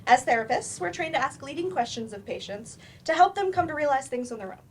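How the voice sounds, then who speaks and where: A woman's voice: sits high, animated, quick pace, a little hiss or hum. A woman in a staged play reading, playing a firm, probing therapist with a direct, dry manner. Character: blunt, probing female therapist, patient but firm.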